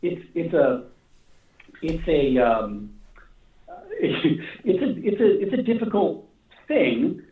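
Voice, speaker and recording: A man being interviewed as a writer, chatting casually and haltingly, rambling and repeating phrases as he thinks aloud. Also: fairly high pitch, huge pitch swings. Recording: echoey, distant, phone-like call audio.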